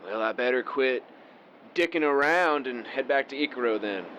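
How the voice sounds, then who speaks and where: Actor as subdued cowboy, faint road noise. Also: male voice, medium pitch, expressive, moderate pace, a bit bright, lightly acted, rough recording. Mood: sorry, resigned. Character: remorseful, subdued rodeo cowboy phoning home. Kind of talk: radio play acting.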